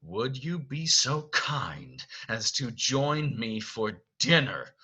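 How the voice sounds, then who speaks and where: Man putting on a forceful, furious male beast. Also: mid-range voice, very animated, slow, exaggerated voice. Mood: strained restraint. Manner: commanding, forceful, through gritted teeth. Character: furious, domineering male beast, master of a castle.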